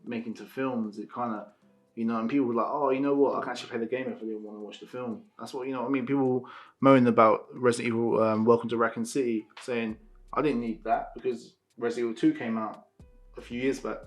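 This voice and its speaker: A man's voice: mid-range voice, steady tone, fast, faint music behind. A British man chatting casually as a reviewer, in loose, slangy, informal phrasing. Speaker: film and game reviewer. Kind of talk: casual chat.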